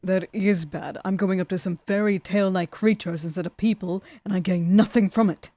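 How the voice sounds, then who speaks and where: A woman's voice: mid-range voice, fairly even intonation, moderate pace, warm tone, no echo, close to an everyday voice. A woman playing a roguish assassin in an ASMR audio drama, cheeky and dramatic, with a wheedling way of talking. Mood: frustrated. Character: roguish, pleading assassin, the queen's troublesome cousin. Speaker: voice actor in an audio roleplay.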